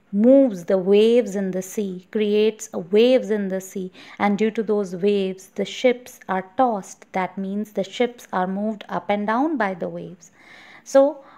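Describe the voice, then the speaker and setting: A woman with an Indian accent teaching children, explaining a poem deliberately and repeating herself as she goes. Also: mid-range voice, warm tone. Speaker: English teacher.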